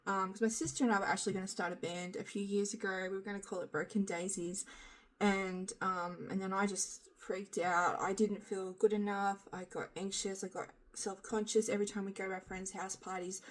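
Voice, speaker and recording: A middle-aged woman with a husky, slightly nasal voice, hoarse from being sick, chatting frankly and rambling in a casual vlog filmed on a handheld phone. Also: mid-range voice, flat delivery, brisk, low-quality recording. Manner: rambling, frank, self-deprecating, swears casually. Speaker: vlogger. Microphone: handheld phone camera.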